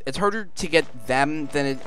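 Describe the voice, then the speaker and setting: A man's voice: on the high side, normal speed, a bit bright. A man doing casual, informal let's play commentary, rambling along as a gaming video host. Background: game music.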